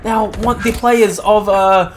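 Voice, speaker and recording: Slightly croaky, higher-voiced male esports caster. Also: slow pace, noisy background. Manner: excitable, exclaiming at big plays. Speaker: esports match caster and interviewer. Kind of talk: live game commentary and post-match interview.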